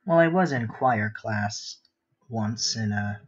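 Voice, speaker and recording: Husky-voiced man chatting on a stream. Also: fairly low pitch, lively intonation, slow, lo-fi sound. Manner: rambling, muttering to himself while drawing. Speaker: art livestream host. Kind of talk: casual chat while sketching.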